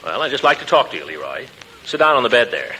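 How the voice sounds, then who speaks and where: Blustery fond middle-aged uncle, old 1940s radio comedy, pitch swoops everywhere, bit of noise. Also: male voice, very animated, quick pace, sharp tone. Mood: blustery, hesitant. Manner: blustery, hesitant, chuckling. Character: pompous, fond, flustered middle-aged uncle raising his nephew. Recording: noisy 1940s radio recording.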